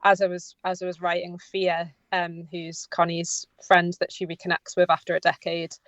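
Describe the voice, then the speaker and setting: Female interview guest, conversational and halting, fairly flat intonation, slightly dull recording. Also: fairly low pitch, dry recording. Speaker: interviewed writer and director. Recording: slightly dull, dry sound.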